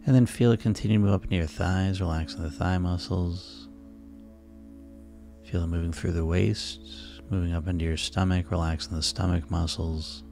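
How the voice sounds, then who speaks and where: A man speaking as a hypnotist, guiding listeners into sleep in a soothing, unhurried, repetitive way over music. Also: low-pitched, steady tone, vocal fry, mellow. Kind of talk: guided sleep hypnosis narration. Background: relaxing background music.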